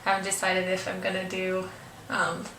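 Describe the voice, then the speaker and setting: A woman's voice: lowish voice, completely flat delivery, normal speed, creaky, bright, forceful tone. A young woman with a stuffy, congested voice, chatting hesitantly through a makeup tutorial with lots of ums and apologies. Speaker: beauty vlogger. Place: room at home. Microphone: camera mic from a distance. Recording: roomy, distant camera audio with faint hiss.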